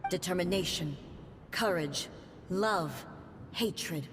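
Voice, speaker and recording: A woman's voice: lowish voice, slow, slight background noise. An actress voicing a cold, majestic shogun guardian in a video game, solemn and formal in her declamation. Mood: solemn, resolute. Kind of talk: dramatic video game voice acting. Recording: game audio under loud music. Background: loud game music.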